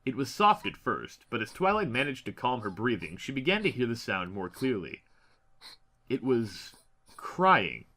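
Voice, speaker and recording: Bright, forceful male story narrator. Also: mid-range voice, sing-song, normal speed. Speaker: audiobook narrator. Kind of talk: dramatic scripted story narration.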